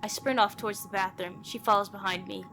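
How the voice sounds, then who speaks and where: A woman narrates a visual novel for a gaming playthrough. Her voice sits at a middle pitch and her reading is theatrical and expressive, with casual commentary mixed in. Music plays softly in the background. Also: fairly quick. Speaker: gaming video host. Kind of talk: dramatic read-aloud of a visual novel with casual asides.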